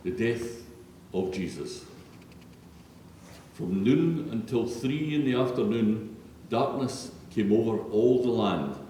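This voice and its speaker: A man's voice: medium pitch, lively intonation, slow pace, pauses often, mellow. A man narrating scripture solemnly as a reader in a church. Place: large echoey church interior. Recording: distant, very echoey church, faint noise.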